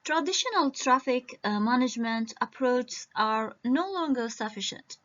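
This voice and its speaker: A woman from Iraq giving an academic conference presentation over a video call, halting and full of hesitations. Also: on the high side, animated, fairly bright tone. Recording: muffled lo-fi video-call audio.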